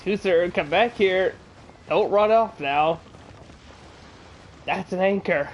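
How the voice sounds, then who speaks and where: A man's voice: high voice, slow, slight background noise. A man casually commenting as he streams a game, with chuckling asides. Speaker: gaming livestreamer. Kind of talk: casual live commentary over gameplay.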